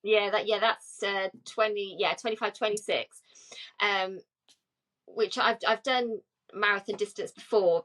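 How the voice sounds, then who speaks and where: A woman in her forties from England chats easily on a podcast. She fills her talk with ums and yeahs and laughs often, at a middling pitch. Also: fairly bright tone, low-quality recording. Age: 40s. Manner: chatty, laughs often, many fillers. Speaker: podcast co-host. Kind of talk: casual chat.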